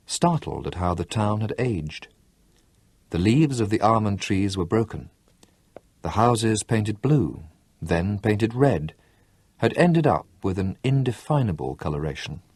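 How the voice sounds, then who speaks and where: A man narrating a novel as an expressive audiobook reader. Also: fairly low pitch, breathy edge, no echo. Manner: expressive storytelling reading. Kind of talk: scripted narration.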